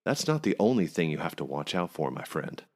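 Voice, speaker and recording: A man as a sly, commanding storybook king, lively pitch. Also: a little fast. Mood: teasing. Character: jovial, commanding male king, teasing and sly. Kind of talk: folk tale storybook reading.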